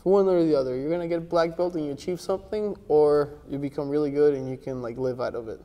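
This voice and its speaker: A young man born in Miami and raised in Ecuador and Texas, answering interview questions in a soft, relaxed way, with lots of restarts and "like". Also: on the high side, fast talker. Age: young adult. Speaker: interview guest, jiu-jitsu athlete. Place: gym with large windows, indoors. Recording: long reverb from a large room.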